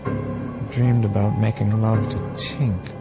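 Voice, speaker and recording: Story narration: male actor as weary, brooding hardboiled narrator, low register, measured, scored with music. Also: level tone. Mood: brooding, reflective.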